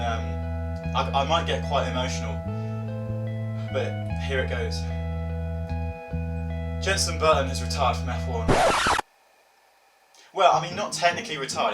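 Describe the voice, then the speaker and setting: A British man in his early twenties giving a chatty opinion vlog in a wry, mock-dramatic, self-deprecating way. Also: medium pitch. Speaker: vlogger and radio presenter. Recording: roomy, distant mic with music behind.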